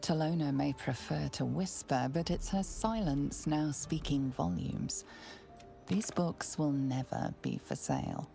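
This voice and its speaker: Creaky, low-voiced woman of fantasy lore. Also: big pitch swings, a little slow, mellow, soft background music, exaggerated voice. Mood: solemn. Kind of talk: video game character acting.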